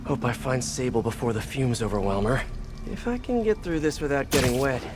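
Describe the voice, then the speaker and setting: A man's voice: mid-range voice, lively intonation, a little fast, noisy background, natural voice. An actor playing a young, good-hearted American superhero in a video game, earnest and quippy as he talks. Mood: curious, quippy. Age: young adult. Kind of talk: video game voice acting, talking to himself. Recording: loud music behind the voice. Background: game music.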